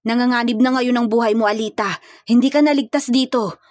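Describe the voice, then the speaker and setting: A woman's voice: on the high side, fairly even intonation, fairly quick, darkish tone, no room sound, natural voice. A woman voicing a serious, protective young male hired killer in a dramatized romance story, firm and commanding. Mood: urgent, firm.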